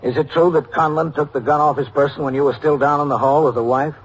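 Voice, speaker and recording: An actor playing a brisk, probing police captain in an old-time radio detective drama, questioning in an official manner. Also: male voice, fairly high pitch, steady tone, slightly rough. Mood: stern, pressing. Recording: noisy vintage radio drama recording.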